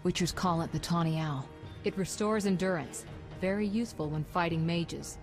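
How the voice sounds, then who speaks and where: Female actor as assured fantasy game sorceress, low pitch, instructive, commanding, faint music underneath. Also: moderate pace, close to an everyday voice. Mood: composed, urgent. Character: confident, knowledgeable female sorceress in battle.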